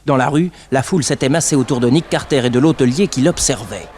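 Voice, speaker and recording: A man's voice: medium pitch, fast, darkish tone, lightly acted. Guy as sober narrator for an old radio crime serial, medium voice, bit of hiss. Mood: grave. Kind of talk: scripted narration, 1960s radio drama.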